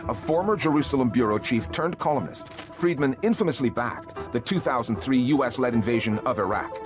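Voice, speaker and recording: A man delivers scripted documentary narration in a measured, pointed tone. A dry irony runs through his read. Music plays clearly behind him. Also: medium pitch, animated.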